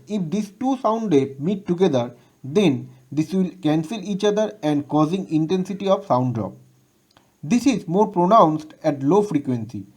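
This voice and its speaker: A man's voice: a little high, darkish tone. A man with an Indian accent giving a formal, scripted technical lesson as a lecturer, in a recited textbook manner. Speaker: lecturer presenting an electronics lesson. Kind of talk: scripted educational presentation.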